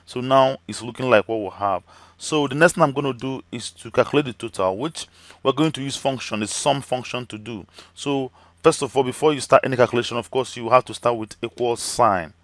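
Man teaching spreadsheets, slightly hoarse. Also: mid-range voice, a little fast, fairly bright tone, no echo. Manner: chatty, many fillers and "okay" checks. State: voice slightly cracking, losing his voice. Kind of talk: screen-recorded software tutorial.

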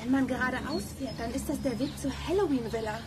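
A woman's voice: high voice, fast, noisy background, natural voice. An actress playing a level-headed girl detective in a children's radio play. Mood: focused.

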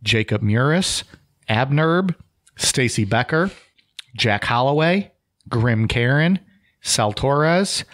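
A young man hosting a casual podcast, joking with his co-hosts and reading out listener shout-outs. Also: medium pitch, lively intonation, slow pace, pauses often. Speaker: podcast host.

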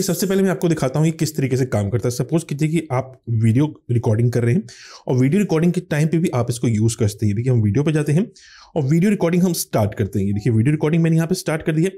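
A man's voice: on the high side, mellow. A man hosting a tech channel, explaining phone features step by step in tutorial commentary.

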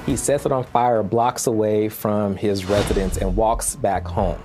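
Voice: mid-range voice, fairly even intonation, measured pace, music in the background. Matter-of-fact voice in a news interview. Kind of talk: documentary interview.

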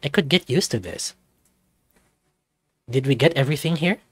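A man voicing a gentle, laid-back big male animal character in a visual novel, relaxed and casual. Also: mid-range voice, normal speed, slightly rough, no room sound.

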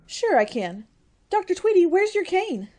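A woman's voice: very high-pitched, very animated, moderate pace, darkish tone. A woman playing an eager, naive young college secretary, gushing in an old-time style radio comedy.

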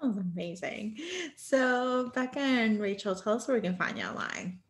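A woman's voice: mid-range voice, moderate pace. A woman hosting a podcast in a casual, chatty interview style, gushing and effusive. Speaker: podcast host.

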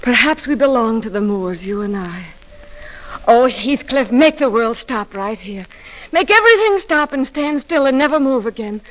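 A proud, willful young lady of the moors in an old-time radio play. Her voice is high and swings widely in pitch. She speaks with theatrical, volatile passion. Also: expressive, normal speed, a bit bright, rough recording. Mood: tender, dreamy. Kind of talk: 1940s radio drama acting.

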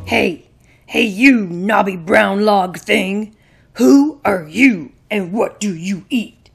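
A woman's voice: medium pitch, takes it slowly, creaky, slightly rough, faint music behind, full character voice. A woman voicing a boastful, brash male bullfrog with a big mouth in a children's puppet show, drawling and bragging. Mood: brash, nosy.

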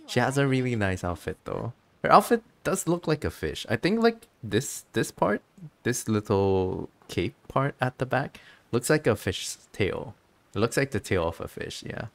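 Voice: mid-range voice, very animated, moderate pace, vocal fry. A streamer chatting casually over a game, with a giggly, easygoing way of commenting.

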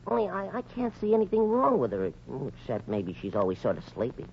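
A man's voice: mid-range voice, huge pitch swings, fast talker, gravelly. An American man playing an eager, smitten, bumbling young bellboy, stammering in a 1940s radio comedy. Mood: confiding. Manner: stammering, flustered. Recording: muffled, hissy 1940s radio recording.

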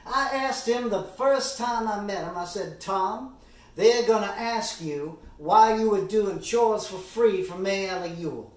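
A man performing a stage monologue as an earnest, impassioned middle-aged defence lawyer, speaking deliberately with dramatic pauses and emphatic oratory. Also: high voice. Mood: recounting, intent. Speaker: amateur actor performing a monologue. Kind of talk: theatrical courtroom summation monologue. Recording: roomy echo, distant microphone.

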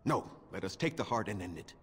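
An actor playing a proud, bitter elven clan keeper in a fantasy game, formal and solemn, with a husky voice. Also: male voice, fairly low pitch, expressive, a little fast, fairly bright tone, full character voice. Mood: cold contempt. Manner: formal, solemn, theatrical phrasing. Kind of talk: dramatic fantasy game acting. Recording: long hall-like reverb.

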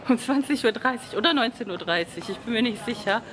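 A woman's voice: fairly high pitch, expressive, fast talker, a bit bright, slight background noise. A woman in her thirties chatting casually to a handheld camera, rambling a little and tired from the humid heat, in a hotel lobby and on the street. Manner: chatty, rambling, frequent "genau" and "ne?" tag questions. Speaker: travel vlogger. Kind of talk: casual vlog chat to camera. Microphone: handheld camera at arm's length.

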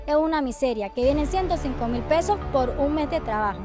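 High-voiced young Venezuelan woman. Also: lively intonation, a little fast, music in the background. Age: 20s. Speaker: interviewee, migrant mother. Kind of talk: street interview. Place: outdoors among bushes.

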